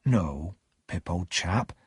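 A man voicing a humble, awkward, kindly working-class blacksmith in a storybook, speaking slowly and deferentially. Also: mid-range voice, very animated, breathy edge, dry recording, studio quality, heavily acted. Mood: solemn, humble. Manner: slow, deferential, halting.

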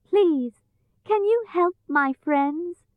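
A woman's voice: very high voice, animated, very slow, dry recording, phone-quality sound, voice pushed up, exaggerated voice. A woman voicing a brave, earnest young girl in a storybook, with an emphatic delivery. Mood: urgent, pleading.

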